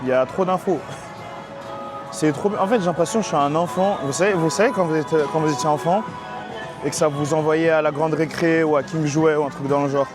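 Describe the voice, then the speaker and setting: A young man from France vlogging his walk through busy city streets, talking to his viewers in excitable, slangy speech. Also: on the high side, a little fast. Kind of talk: casual travel vlog talk. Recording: music mixed under the voice.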